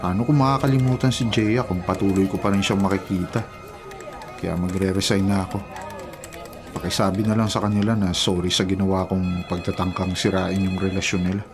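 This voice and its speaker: A man's voice: low voice, steady tone, fairly quick, lightly acted. Man acting as guilty lovesick Filipino schemer, music behind. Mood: remorseful, subdued. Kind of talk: Filipino radio drama acting.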